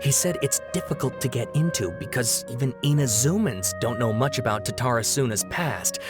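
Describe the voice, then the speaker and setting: A woman's voice: rumbling low, animated, normal speed, music in the background. A woman playing an earnest, slightly awkward young student in a video game. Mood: earnest, explanatory. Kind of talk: animated video game voice acting.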